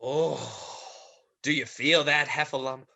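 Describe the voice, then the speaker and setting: A performer playing Mister Woozle, a theatrical, mocking, ominous demon with drawn-out, mock-polite taunts, in a stage play reading. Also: medium pitch, fairly even intonation, creaky, bright, forceful tone, heavily acted. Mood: mock-polite menace.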